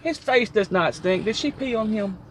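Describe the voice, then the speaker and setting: Rambling joking man, slightly high voice. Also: lively intonation, normal speed, very noisy. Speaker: trucking vlogger. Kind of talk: casual chat.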